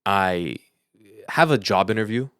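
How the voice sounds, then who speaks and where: A man's voice: fairly low pitch, animated, measured pace, fairly bright tone, dry recording, clean studio sound. A young adult man with an American accent talking casually and earnestly on a podcast, giving advice as a co-host.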